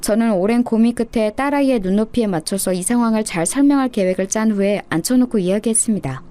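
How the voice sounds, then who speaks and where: A woman narrator with a fairly high-pitched voice reads a scripted personal story. She delivers it in the first person, in the manner of a radio story programme. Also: fast, warm tone.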